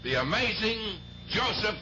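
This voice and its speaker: An actor playing an avuncular, middle-aged cafe owner and showy master of ceremonies in a 1970s radio drama. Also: male voice, high voice, big pitch swings, unhurried, sharp tone, full character voice. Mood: showman. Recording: dull, noisy 1970s AM radio broadcast.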